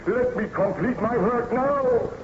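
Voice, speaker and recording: A man's voice: extremely high pitch, takes it slowly. An actor playing a tormented, exhausted baron obsessed with destroying his monster, in melodramatic, solemn radio drama style. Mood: frantic dread. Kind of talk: old-time radio drama acting. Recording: muffled, noisy old radio recording.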